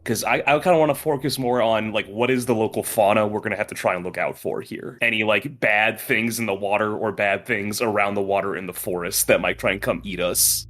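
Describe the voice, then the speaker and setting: Male tabletop player, slightly low voice, casual game-table chat. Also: steady tone, fairly quick, hardly any pauses, a bit bright.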